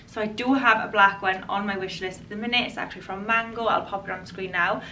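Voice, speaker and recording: A woman in her twenties, a fashion vlogger chatting to camera in a casual, enthusiastic way, her voice a little hoarse from a cold. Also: mid-range voice, fairly even intonation, fast, pushed and bright, far from the mic, a little hiss or hum, low-quality recording. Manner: chatty, enthusiastic, restarts and repeats words. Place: bright room indoors.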